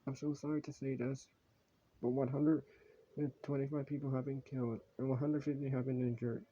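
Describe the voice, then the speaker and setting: Man playing a halting emergency alert announcer, muffled sound. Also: medium pitch, flat delivery, mellow, slight background noise, lightly acted. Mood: grave, urgent. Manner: halting, repetitive, stumbling over the script. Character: grave emergency alert broadcaster warning of invasion. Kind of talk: mock emergency broadcast announcement. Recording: dull, lo-fi home recording.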